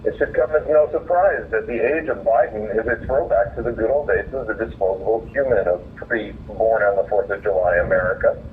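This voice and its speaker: Low-voiced man on a muffled phone line. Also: fairly quick, few pauses. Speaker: caller reading own essay. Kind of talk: reading a written opinion piece aloud, then informal remarks. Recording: muffled phone call, distant, faint noise.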